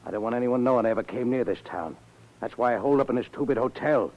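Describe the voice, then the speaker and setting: A man's voice: mid-range voice, fast talker, muffled recording, close to an everyday voice. An actor playing a contemptuous crime boss, curt and menacing, with slangy tough-guy talk in 1940s radio style. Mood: cold, menacing. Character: cold, contemptuous male crime boss in hiding.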